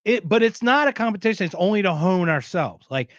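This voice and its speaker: A man hosting a podcast, talking in a loose, rambling way with frequent restarts. His voice sits a little high for a man. The recording sounds dry and slightly dull. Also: animated, fairly quick, dry recording. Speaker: podcast host. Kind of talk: casual chat.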